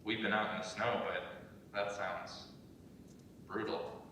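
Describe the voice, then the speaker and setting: Heard from far off in a very echoey room, a man acts a concerned expedition leader. His voice is bright and medium-pitched, in the style of a staged drama reading. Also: flat delivery, slow pace. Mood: curious, sympathetic. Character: Igor, concerned young male expedition leader. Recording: roomy distant recording with low rumble.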